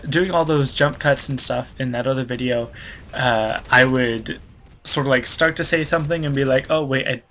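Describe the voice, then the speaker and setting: A man's voice: medium pitch, sharp tone, slight background noise. A young man narrating his video game as a let's play host, rambling and losing his train of thought, with a slightly stuffy nose. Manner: rambling, loses train of thought, self-deprecating. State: stuffy nose, voice a bit worn. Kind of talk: rambling gameplay commentary.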